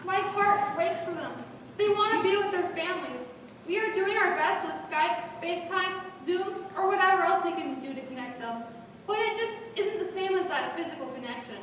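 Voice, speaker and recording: Woman acting caring nursing home worker in a play, really high voice, big echo. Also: normal speed, fairly bright tone. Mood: sorrowful. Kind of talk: stage monologue in a one-act play. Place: on stage in a large hall. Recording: echoey hall from far off, faint noise.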